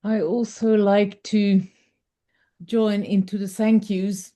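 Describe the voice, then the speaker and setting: A woman speaking informally in measured, reflective short phrases, offering appreciation to someone on a video call. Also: mid-range voice, level tone, warm tone. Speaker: participant giving thanks at an online gathering.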